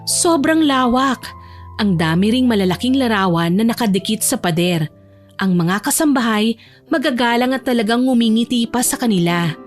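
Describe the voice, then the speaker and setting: A woman reading scripted narration for a dramatic romance audio story. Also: a little high. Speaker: narrator and voice actor.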